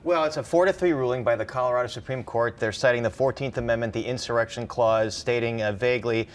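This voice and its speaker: Male political reporter, halting but emphatic. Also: medium pitch. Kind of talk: live news analysis, conversational.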